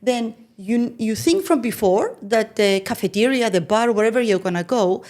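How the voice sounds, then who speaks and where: A woman's voice: fairly high pitch, expressive, normal speed, darkish tone. A woman speaking as a podcast guest who explains ideas step by step and often checks in with "right?". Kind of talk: explanatory conversation.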